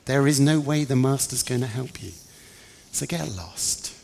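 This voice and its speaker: A man's voice: mid-range voice, measured pace, vocal fry. Man playing a scornful scolding disciple, echoing hall. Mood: scornful, dismissive. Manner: scolding, dismissive. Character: scornful male disciple gruffly turning an outsider away. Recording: reverberant large hall.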